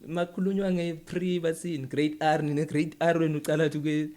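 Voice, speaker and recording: A man's voice: fairly high pitch, flat delivery, brisk, dark tone. A man with a South African accent, interviewing casually and informally as a podcast host. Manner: informal, code-switching.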